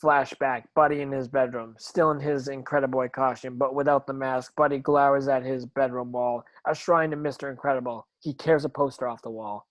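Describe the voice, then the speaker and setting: A man's voice: medium pitch, fairly even intonation, a little fast, few pauses, no echo, rough recording. A man narrating screenplay stage directions in a plain reading, occasionally stumbling over words.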